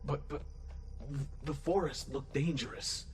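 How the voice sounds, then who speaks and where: A man's voice: a little high, measured pace, a little mellow, close to an everyday voice. A man playing a timid, defensive pony minion who stammers nervously in a cartoon drama. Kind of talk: animated fan audio drama acting.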